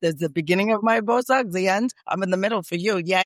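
Podcast guest: middle-aged woman, raspy and strained, emphatic and repetitive, close and dry. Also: mid-range voice, fast, dry recording. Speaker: guest, speech pathologist. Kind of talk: casual podcast interview.

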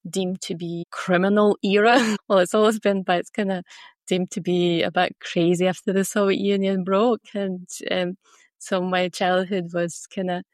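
A woman's voice: lowish voice, level tone, moderate pace, no echo, clean studio sound. A woman from Russia, a native Russian speaker with a Glaswegian accent, chatting casually and telling personal stories as an interview guest. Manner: chatty, laughs often while talking.

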